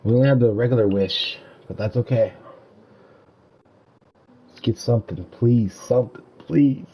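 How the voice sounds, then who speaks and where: A man's voice: mid-range voice, normal speed, mellow, breathy edge. A young man giving casual, slangy live commentary as he streams a video game. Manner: slangy, informal. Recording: slightly dull stream audio. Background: game music.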